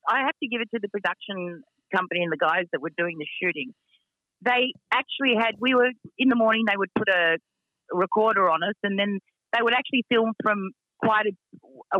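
A woman with a deep voice who owns a racing team, talking frankly with lots of fillers in a radio interview. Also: animated, brisk, dry recording, muffled recording. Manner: frank, chatty, many fillers.

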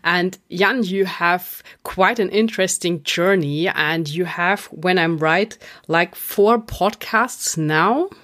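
A woman hosts a podcast interview in a measured, admiring way. Her voice sits low. She takes her time over every sentence. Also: a bit bright. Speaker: podcast host.

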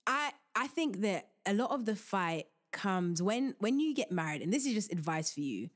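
A young woman hosts a podcast and gives relationship advice in a lively, jokey and preachy way. Her pitch sits in the middle for a woman. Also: normal speed. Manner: animated, joking, preachy. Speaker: podcast host. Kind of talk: casual advice talk.

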